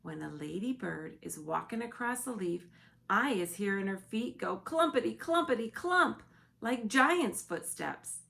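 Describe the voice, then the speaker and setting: A woman voicing a gentle, big-eared male giant who talks earnestly in mixed-up, ungrammatical words. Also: medium pitch, lively intonation, measured pace, creaky.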